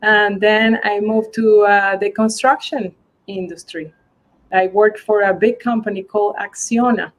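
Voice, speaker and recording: A woman's voice: mid-range voice, fairly even intonation, unhurried, dull audio. Woman answering with many fillers. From Venezuela. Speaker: guest, global mobility manager. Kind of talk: live video interview.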